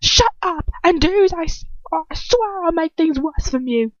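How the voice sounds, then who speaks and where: A woman's voice: extremely high pitch, expressive, normal speed, dry recording, lo-fi sound, pitched above the performer's own voice. Woman voicing a hissing, sneering male wizard villain. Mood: furious, hissing. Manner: mocking, hissing.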